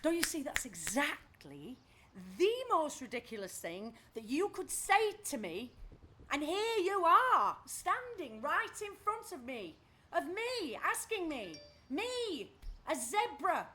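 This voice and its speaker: A woman playing a brusque, socially awkward, overwhelmed woman in a naturalistic audio play, speaking bluntly in halting, repeated phrases. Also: high voice, big pitch swings, sharp tone, exaggerated voice. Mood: agitated. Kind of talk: naturalistic audio drama acting.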